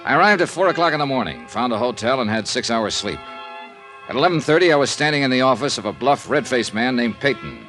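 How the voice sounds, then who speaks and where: An actor playing a wry, clipped American insurance investigator in old-time radio drama style. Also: male voice, medium pitch, sing-song, fast, a bit bright, soft background music. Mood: brisk, businesslike. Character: brisk, wry male freelance insurance investigator. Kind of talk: 1940s-50s radio acting, first-person narration and dialogue.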